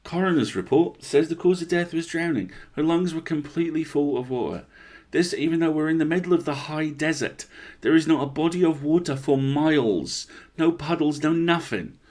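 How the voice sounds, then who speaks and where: A man's voice: on the high side, normal speed, few pauses, darkish tone, voice pushed up, lightly acted. A man voicing a blunt, rattled park ranger in a horror story, confiding and swearing in an incredulous, conversational way.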